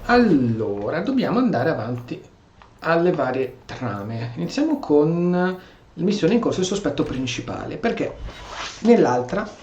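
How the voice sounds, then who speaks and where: A man's voice: fairly high pitch, sing-song, moderate pace, a little mellow, roomy sound, lo-fi sound, a little hiss or hum. A man with an Italian accent giving casual let's play commentary as a gaming streamer.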